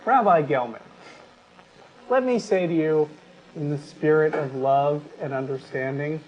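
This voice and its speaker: A man co-hosting a talk show, speaking in a deliberate, emphatic, articulate way, whether reading aloud or giving his opinion. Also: a little high, dull audio. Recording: steady background noise.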